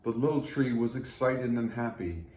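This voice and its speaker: A man reading a children's story aloud in an expressive storytelling manner. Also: mid-range voice, moderate pace. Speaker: storybook reader for church children. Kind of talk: scripted children's story narration. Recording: echoey room, faint background noise.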